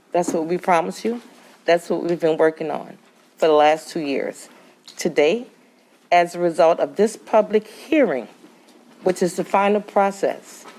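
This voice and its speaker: A woman's voice: fairly low pitch, darkish tone. A woman executive director with a big, carrying voice, speaking plainly and directly to residents at a public hearing.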